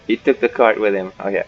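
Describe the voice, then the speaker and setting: A man's voice: on the low side, normal speed, vocal fry, dull audio, soft background music. A man commenting casually on a game as he plays, musing and thinking aloud. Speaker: gaming channel host.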